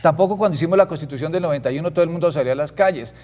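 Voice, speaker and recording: A man's voice: fairly high pitch, racing, darkish tone. A man arguing his case in a heated panel debate, insistent and repeating his phrases. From Colombia. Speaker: guest, director of an anti-corruption network.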